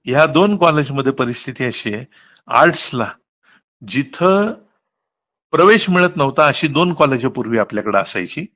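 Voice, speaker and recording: A man's voice: mid-range voice, animated, moderate pace, a bit bright. A middle-aged man explains things earnestly and in detail, mixing in English words, in the manner of a college principal. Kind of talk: earnest explanatory phone conversation. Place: phone call. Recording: muffled lo-fi phone call recording.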